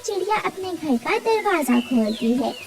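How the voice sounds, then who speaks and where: A woman's voice: extremely high pitch, sing-song, unhurried. A woman narrating a scripted children's cartoon story.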